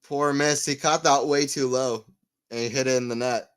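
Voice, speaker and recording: Guy streaming tennis play-by-play, chatty, gets loud on rallies, mid-pitched voice. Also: moderate pace, smooth. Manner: excitable on rallies, rambling and self-mocking between points. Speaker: tennis watchalong commentator. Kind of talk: live play-by-play and casual chat.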